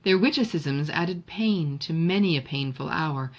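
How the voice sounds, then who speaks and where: A woman reading a classic novel as an audiobook narrator, in a measured, expressive literary manner. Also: sits low, lo-fi sound.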